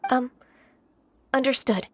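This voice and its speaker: A woman playing a gentle, earnest trainee immortal in an anime game, with a polite, hesitant and measured manner. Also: high voice, noisy background. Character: gentle, earnest female half-adeptus in training, soft-spoken and self-doubting.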